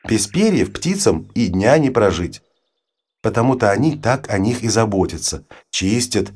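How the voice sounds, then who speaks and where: A man with a husky voice reads an educational narration for children in an expressive, storytelling manner. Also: on the low side. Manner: storytelling, poses questions to the listener. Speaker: audiobook narrator.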